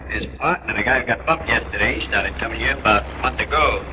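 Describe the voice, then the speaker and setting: A man playing a rough, ungrammatical nightclub tough. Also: medium pitch, fast talker, lightly acted. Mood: gruff. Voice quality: rough, ungrammatical street talk. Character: rough, uneducated male club doorman. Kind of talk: 1940s radio acting. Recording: muffled old radio recording with loud hiss.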